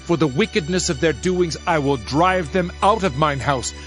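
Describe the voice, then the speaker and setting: A man's voice: fairly high pitch, moderate pace, fairly bright tone, natural voice. A man playing a solemn Old Testament prophet in a dramatized Bible reading, declaiming judgment in a grave, formal manner. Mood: wrathful. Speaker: scripture narrator. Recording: music under the voice. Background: music.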